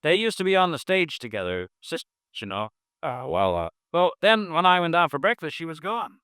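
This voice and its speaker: An actor playing a fretful husband on the telephone who rambles in a flustered way, in a 1950s radio drama. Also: male voice, on the high side, big pitch swings, normal speed, fairly bright tone, smooth, no room sound, studio quality.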